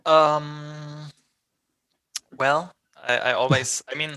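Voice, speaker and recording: A man's voice: on the high side, steady tone, extremely slow, sharp tone, clean tone. A man from southern Germany with a German accent, speaking casually as an interview guest. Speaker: band vocalist, interview guest.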